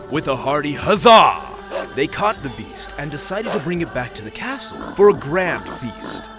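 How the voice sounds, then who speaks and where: A man plays a young Viking prince spinning a fairy tale. His delivery swings widely in pitch, with theatrical pauses and a good-natured, easily flustered streak. Music plays clearly behind him. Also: natural voice. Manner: theatrical storytelling with dramatic pauses. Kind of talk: scripted fairy-tale storytelling.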